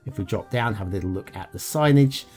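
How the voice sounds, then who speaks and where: A chatty man narrates a review of a city-building map, his voice swinging lively up and down as he chuckles and trails off into ums. Faint music plays underneath him. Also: lowish voice, fast. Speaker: game map reviewer, show host. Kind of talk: casual gameplay commentary.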